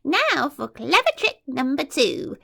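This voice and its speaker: A woman's voice: sits high, very animated, takes it slowly, gravelly, sharp tone, voice pushed up, exaggerated voice. A woman voicing a greedy, scheming male crocodile in a children's storybook reading, gloating to himself. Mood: sly gloating. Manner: gloating, sly asides to himself.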